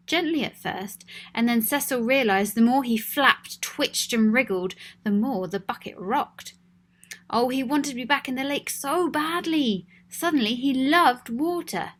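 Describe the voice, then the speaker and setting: A woman reading her own children's story aloud in a gentle, expressive storybook way. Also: on the high side.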